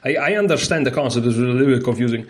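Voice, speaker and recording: A man who is a non-native English speaker, giving a casual lesson, reading aloud and adding candid, informal asides as he thinks out loud. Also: medium pitch, very fast. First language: not English. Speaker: chess teacher and streamer.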